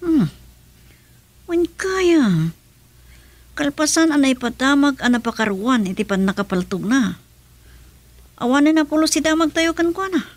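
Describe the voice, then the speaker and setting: A woman with an Ilocano accent playing a brisk, businesslike aide giving reports in a radio drama. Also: high-pitched, big pitch swings, dry recording. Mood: concerned, informing.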